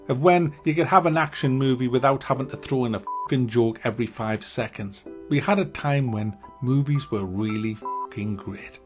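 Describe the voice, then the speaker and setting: A man giving a ranting movie review, animated, sarcastic and full of swearing. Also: mid-range voice.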